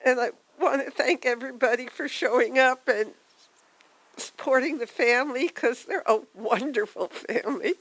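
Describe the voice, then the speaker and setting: An older woman with a soft voice giving a halting, heartfelt tribute at a memorial gathering. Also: sits high, dry recording, rough recording. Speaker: memorial speaker.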